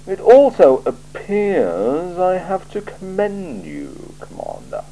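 Man reading as a sardonic male ruler, slow and measured, dry probing questions, mellow tone. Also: high voice, huge pitch swings, vocal fry, voice pushed up, full character voice, low-quality recording. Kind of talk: audiobook character voice.

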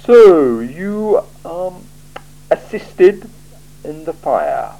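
A man voicing a cool, sardonic male city ruler in an audiobook, speaking in a slow, measured, dry and probing way. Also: fairly high pitch, wildly swooping pitch, dark tone, voice pushed up, heavily acted, rough recording. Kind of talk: audiobook character voice.

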